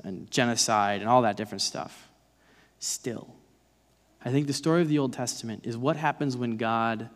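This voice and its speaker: Male preacher, thirties, mid-pitched, conversational but emphatic, big-hall reverb. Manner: emphatic, dramatizes points with rhetorical questions. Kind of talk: conversational sermon. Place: large dark church stage, indoors.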